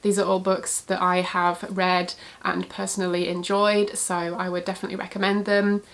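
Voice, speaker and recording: A woman with a stuffy, husky voice from a cold, chatting casually about books she recommends, with frequent filler words. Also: on the low side, level tone, moderate pace, a bit bright. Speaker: book reviewer.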